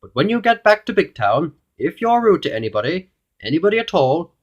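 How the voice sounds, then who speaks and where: Man acting a high-voiced, stern goblin king. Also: very animated, a little fast, muffled recording. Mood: stern decree. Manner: declamatory, admonishing. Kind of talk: storybook character voice.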